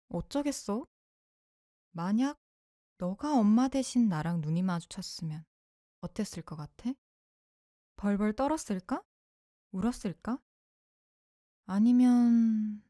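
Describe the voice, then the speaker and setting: A woman voicing a calm psychopathic young woman who talks softly and intimately to the listener, with a coolly mocking edge, in an ASMR audio drama. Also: on the high side, dry recording, clean studio sound. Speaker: voice actor.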